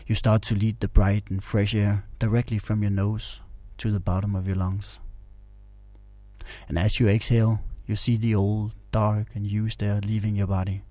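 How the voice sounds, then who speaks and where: A man narrating a scripted guided meditation in a calm, measured, instructional way. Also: on the low side, dry recording.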